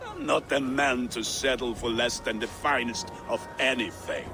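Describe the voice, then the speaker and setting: An actor playing a lovelorn, proud Norse blacksmith in a video game, melodramatic and boastful in manner. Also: male voice, medium pitch, normal speed, music in the background, natural voice. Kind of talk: video game character acting.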